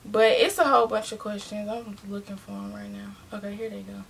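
A nineteen-year-old woman, chatting casually and rambling through a Q and A in her bedroom, often correcting herself and dropping into quiet asides. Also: medium pitch, steady tone, a little fast, gravelly, slight background noise. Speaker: vlogger. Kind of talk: casual Q and A talking to viewers. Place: bedroom, indoors, small.

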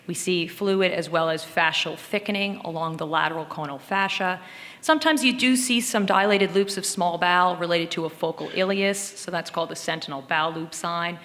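Low-voiced woman lecturing in a reverberant room. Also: fairly bright tone. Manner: explanatory, talking through slides and cases. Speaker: radiologist lecturer. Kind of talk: case-based medical lecture.

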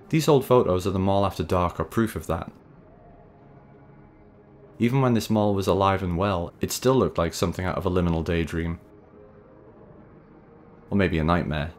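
A man hosting a video essay, reading scripted narration in a measured, wry, conversational way. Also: low-pitched, a little mellow, a little hiss or hum. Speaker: host and narrator of a video series. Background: background music.

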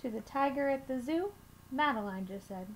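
Young female storytime reader, high voice, deliberate expressive delivery, slightly noisy webcam recording. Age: young adult. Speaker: children's storytime reader at a school. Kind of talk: reading a picture book aloud to children. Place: small room at home, indoors.

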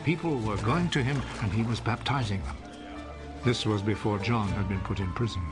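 A man narrating a biblical film in a measured, solemn, scripted style. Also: fairly low pitch, close to an everyday voice. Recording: film soundtrack with music behind. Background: music.

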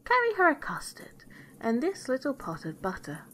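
Woman as a gentle, lilting storybook mother. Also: high voice, wildly swooping pitch, normal speed, slight background noise, pitched above the performer's own voice, exaggerated voice. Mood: caring. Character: gentle mother instructing her young daughter.

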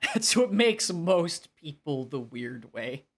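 A man's voice: a little high, slow. Nasal man chatting on a game stream. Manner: expressive, self-deprecating, breaking off to answer chat. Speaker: game livestreamer. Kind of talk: casual livestream chat while gaming.

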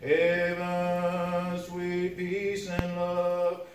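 A man's voice: monotone, very slow, faint music behind. An older man singing unaccompanied gospel hymns as a church singer. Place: small wood-paneled church room. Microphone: distant camera in the room. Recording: roomy, distant, noisy church recording with rumble.

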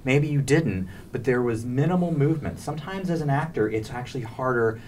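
A man's voice: mid-range voice, brisk, darkish tone. A man interviewing guests in a conversational style. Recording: slightly distant mic, faint room noise.

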